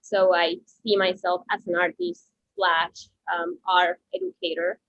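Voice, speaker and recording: A woman from Venezuela, a painter and art educator, answering interview questions at home in a halting way, with many restarts. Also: fairly low pitch, a bit bright, lo-fi sound.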